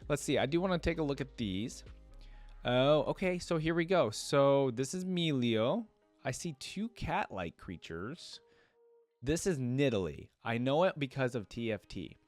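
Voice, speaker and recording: A man with a mid-pitched voice hosts a casual reaction video. He thinks aloud and explains informally, moving between commentary and reading aloud, with music playing behind him. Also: animated, measured pace, dry recording.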